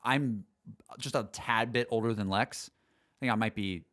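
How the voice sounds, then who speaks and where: A man's voice: on the low side, measured pace, fairly bright tone. A man, co-hosting a podcast in easygoing, agreeable chat. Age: 20s. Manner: easygoing, agreeable, says "like" often. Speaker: podcast co-host.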